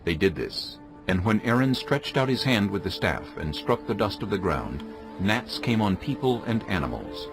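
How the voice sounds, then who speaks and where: A man narrating dramatized scripture as a solemn, measured storyteller. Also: fairly low pitch, big pitch swings, over music.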